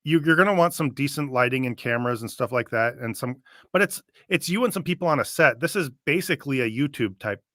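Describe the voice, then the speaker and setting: Bright-voiced man, very dry close sound. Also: mid-range voice, animated, quick pace, dry recording. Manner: conversational, opinionated. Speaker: show co-host. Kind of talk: casual reaction commentary.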